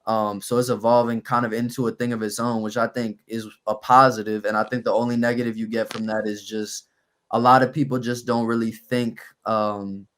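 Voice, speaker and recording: A young man from New Orleans with a raspy voice, a guest rapper talking in a laid-back, measured way with frequent pauses in a casual podcast interview. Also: medium pitch, flat delivery, fairly bright tone. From New Orleans, USA.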